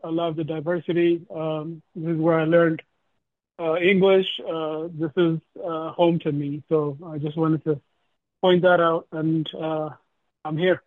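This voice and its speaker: Halting man on a tinny phone line. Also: on the high side, flat delivery, dry recording. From Ethiopia. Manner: halting, many fillers. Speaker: restaurant owner. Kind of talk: casual introduction on a call.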